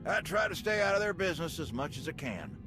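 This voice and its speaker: An actor playing an older, folksy and plain-spoken station engineer in a video game. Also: male voice, a little high, sing-song, quick pace. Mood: confiding. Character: folksy, gruff veteran male station engineer. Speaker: chief engineer. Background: music.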